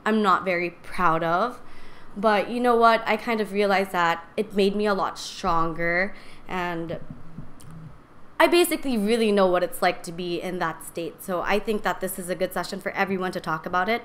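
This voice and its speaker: A woman in her twenties hosting a podcast, talking casually and candidly, her strained voice raspy and a little shaky. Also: medium pitch, fairly bright tone. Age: 20s. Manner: candid, rambling, chatty. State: strained voice from singing and talking too much. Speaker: podcast host. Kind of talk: casual personal talk to live stream viewers.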